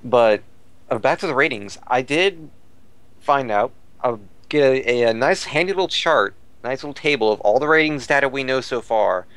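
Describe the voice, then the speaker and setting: A man's voice: medium pitch, animated, normal speed, fairly bright tone, clear, resonant tone, no room sound. A man talking casually as a podcast commentator about fan news, rambling and repeating phrases as he searches for words.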